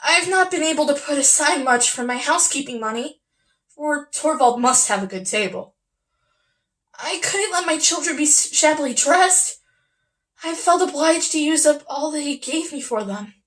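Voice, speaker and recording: Woman playing proud young housewife, high voice, wistful, earnest, confiding, classic stage drama monologue. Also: expressive, moderate pace, fairly bright tone.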